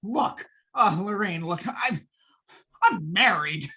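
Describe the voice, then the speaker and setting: Husky, frantic working guy, played by a woman. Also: mid-range voice, lively intonation, takes it slowly, bright, forceful tone, exaggerated voice. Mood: flustered, pleading. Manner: stammering, flustered. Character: frantic, hapless working man, henpecked and stammering. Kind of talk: old-time radio drama acting.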